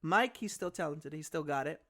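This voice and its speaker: A man with a high-pitched voice co-hosting a podcast, rambling and profane in casual chat. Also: animated, moderate pace, bright, forceful tone. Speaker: podcast co-host.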